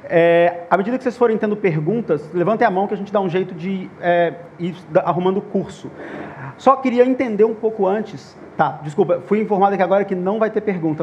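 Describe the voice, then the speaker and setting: High-voiced man moderating a panel. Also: highs cut off, slight background noise. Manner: lively, uses many fillers and self-corrections. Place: conference stage.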